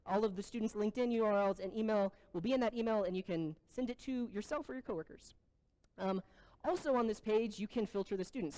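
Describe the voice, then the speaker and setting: Casual woman host, mellow voice. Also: a little high, low-quality recording. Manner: casual, joking, plain. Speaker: event host. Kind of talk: explaining a website, introducing speakers.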